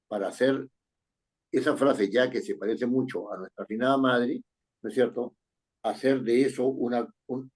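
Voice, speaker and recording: A man with a husky, slightly breathy voice at a middle pitch leads a writing lesson. He reads sentences aloud slowly, then explains the fixes, often ending on a questioning "right?". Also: a little mellow. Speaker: writing teacher. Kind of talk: correcting a student's paragraph in class.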